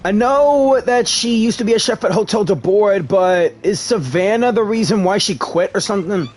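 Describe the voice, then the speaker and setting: A man's voice: high voice, lively intonation, moderate pace, slight background noise. A man live-streaming a game, casually reacting and reading dialogue aloud as he plays. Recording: faint game music behind.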